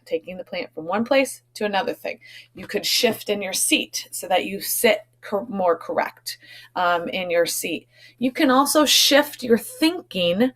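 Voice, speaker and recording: Female primary teacher, medium pitch, explaining words to kids step by step with frequent little check-in questions. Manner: instructive, often checks in with short tag questions. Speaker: elementary school teacher. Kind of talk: explanatory vocabulary lesson for children.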